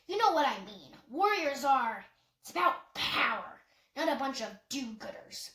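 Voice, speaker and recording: A woman voicing a gruff, proud old grandfather with a blunt manner in an audiobook story. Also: sits high, very animated, unhurried, a bit bright, roomy sound, far from the mic, heavily acted. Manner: blunt, mumbling.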